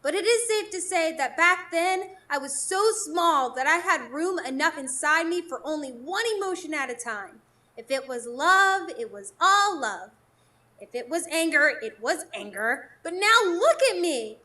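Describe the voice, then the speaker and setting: Woman doing a cheeky muddled fairy beggar, super high and bright, theatrical radio play. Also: animated, measured pace, exaggerated voice. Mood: whimsical, playful. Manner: playful, scatterbrained, theatrical. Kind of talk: live radio drama acting.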